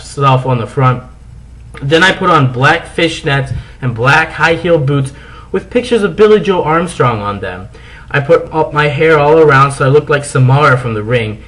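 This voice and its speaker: Animated man reading theatrically. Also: mid-range voice, low-quality recording. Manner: theatrical, mock-serious. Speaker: narrator. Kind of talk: comic dramatic reading of fan fiction.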